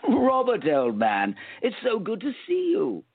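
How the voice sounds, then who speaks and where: A man playing a pompous, jovial, sly gentleman in a radio play, speaking in an affected, genteel, condescending way. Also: high-pitched, wildly swooping pitch, a little slow, creaky, breathy edge, dry recording, full character voice. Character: pompous, jovial, sly gentleman time traveler, full of himself. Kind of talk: hobby radio play acting. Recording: dull lo-fi audio.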